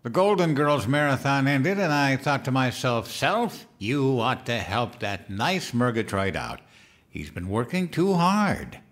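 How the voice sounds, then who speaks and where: An actor playing a childlike, eager, guileless president-elect in a comedic audio drama. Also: male voice, mid-range voice, big pitch swings, unhurried, fairly bright tone. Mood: naive, eager. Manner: guileless, simple-minded, earnest talk.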